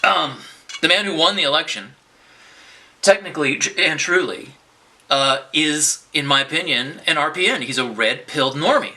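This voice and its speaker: A man's voice: fairly high pitch, expressive, normal speed, bright, forceful tone. A man delivering a rambling, unscripted political monologue to his viewers, often restarting his sentences and swearing in bursts. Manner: rambling, with many restarts and profane outbursts. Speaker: vlogger.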